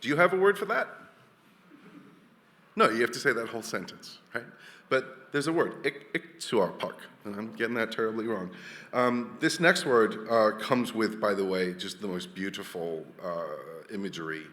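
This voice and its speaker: A man's voice: lowish voice, normal speed, a bit bright, in a large hall. A man from Wales, giving a chatty, jokey conference talk full of restarts. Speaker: conference speaker. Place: large hall.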